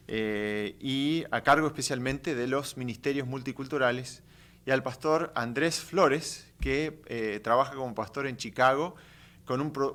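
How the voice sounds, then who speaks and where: A man's voice: mid-range voice, a bit bright. A man from Uruguay hosting a church podcast, introducing guests and asking questions. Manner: friendly, questioning, with fillers. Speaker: podcast host. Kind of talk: interview hosting.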